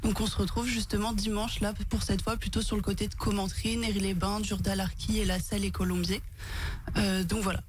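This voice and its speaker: A woman's voice: medium pitch, little pitch movement, fairly quick, background noise. A woman with a French accent giving informative, slightly hesitant unscripted answers as an interview guest. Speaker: tourist office event organiser, interview guest.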